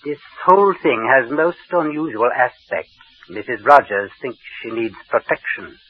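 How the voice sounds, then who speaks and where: A prim, unctuous undertaker. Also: male voice, medium pitch, lively intonation, moderate pace, slightly rough. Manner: unctuous, prim, sing-song. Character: fussy ghoulish undertaker man, middle-aged. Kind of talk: 1940s radio acting. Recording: dull 1940s radio recording.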